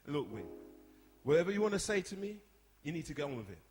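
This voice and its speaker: A man's voice: a little high, sing-song, normal speed, darkish tone, faint music behind. A man playing an exasperated, outspoken young older brother in a radio drama, chiding and emphatic. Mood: needling. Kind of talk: radio soap acting before a live audience. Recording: echoey hall, long reverb.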